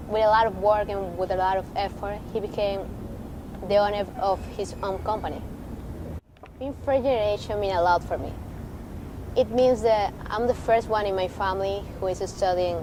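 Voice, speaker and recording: Young woman from Venezuela; earnest, encouraging student testimonial, traffic noise around her. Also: mid-range voice. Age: young adult. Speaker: university student. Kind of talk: personal testimonial. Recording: outdoor street noise.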